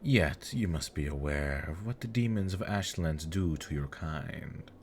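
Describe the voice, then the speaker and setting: Man acting as elder demon aristocrat, deep voice, lively swooping intonation, smug, flirtatious, mockingly polite, fantasy audio roleplay. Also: measured pace, slight background noise, exaggerated voice. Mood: flirtatious, taunting.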